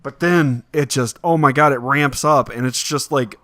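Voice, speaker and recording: A man chatting casually as a podcast host about entertainment, talking in a halting way with repeated phrases. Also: mid-range voice, a bit bright, no room sound, clean studio sound. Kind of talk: casual movie discussion podcast.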